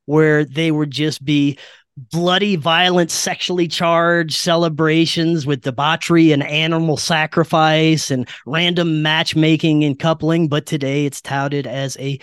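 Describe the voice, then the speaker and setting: A man's voice: fairly high pitch, steady tone, unhurried, a bit bright, clear, resonant tone, dry recording. A man from Louisiana talking casually as a guest on a podcast.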